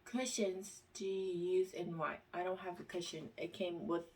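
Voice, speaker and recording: A woman vlogger reads questions aloud and answers them in a casual, halting way, with plenty of pauses and fillers. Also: on the low side, steady tone, a little mellow, far from the mic, rough recording. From United States.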